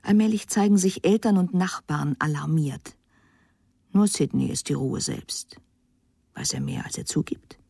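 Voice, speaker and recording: A woman reading scripted narration as the narrator of a crime story, in a measured, suspenseful storytelling manner. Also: low voice, lively intonation, vocal fry, mellow, breathy edge, dry recording, clean studio sound.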